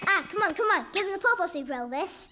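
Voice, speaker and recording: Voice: extremely high pitch, animated, quick pace, big-room sound. A performer voicing a sweet, eager little child superhero in a children's cartoon, with a coaxing, bouncy manner. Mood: eager, playful. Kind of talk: children's cartoon dub acting. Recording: music behind.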